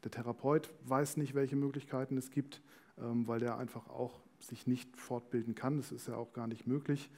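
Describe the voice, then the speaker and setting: A man's voice: medium pitch, flat delivery, normal speed, dark tone. A man, explaining things as a guest in an on-stage interview. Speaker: company managing director, talk guest. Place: indoor small stage before talk-show panel. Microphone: headset.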